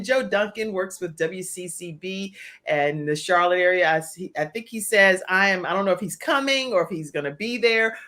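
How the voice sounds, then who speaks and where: A woman's voice: fairly low pitch, fairly bright tone. An American woman hosting a live online talk show, chatting in an effusive, encouraging way and addressing viewers by name.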